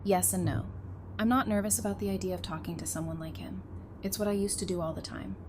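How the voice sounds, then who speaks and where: A woman's voice: mid-range voice, fairly even intonation, fast talker, noisy background, natural voice. A woman acting a wry, guarded, tough-minded survivor in frank, conversational audio drama dialogue. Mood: frank, uneasy.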